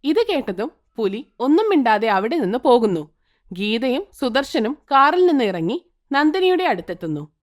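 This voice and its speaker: A woman narrating a cartoon moral story in an expressive, sing-song way. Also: fairly high pitch, moderate pace.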